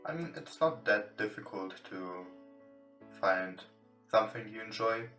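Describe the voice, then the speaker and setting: A man who is not a native English speaker reads visual novel text aloud as a let's play host, haltingly and with stumbles over words. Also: fairly low pitch, lively intonation. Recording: roomy echo, distant from mic. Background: soft guitar game music.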